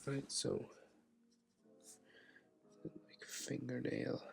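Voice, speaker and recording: A man with a head cold, narrating a step-by-step drawing tutorial, muttering quietly to himself and drifting into whispers. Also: mid-range voice, completely flat delivery, extremely slow, creaky, noisy background. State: congested with a cold. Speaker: art tutor.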